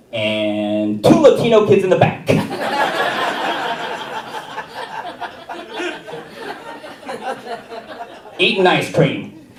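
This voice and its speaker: A man doing stand-up on a theater stage. His delivery is slow and wry, and his pitch swings around a lot. He is heard from a distance through a stage mic in a roomy hall. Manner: wry, deadpan delivery with chuckles. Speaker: stand-up comedy student. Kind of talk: stand-up comedy set.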